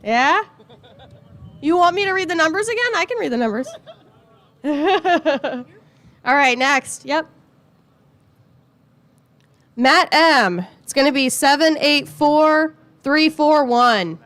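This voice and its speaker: A woman with a notably high voice runs a raffle for a gathered crowd outdoors. She is casual and playful, teasing the winners and drawing out each ticket number. Her calls are loud enough to reach people at the back. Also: lively intonation, slow pace, pauses often. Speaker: raffle announcer at a race event.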